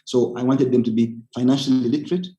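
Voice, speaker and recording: Man, Ugandan accent, mellow relaxed tone, medium pitch, emphatic, persuasive panel talk. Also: fast. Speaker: panelist, community organizer. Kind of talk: webinar talk, personal account with exhortation.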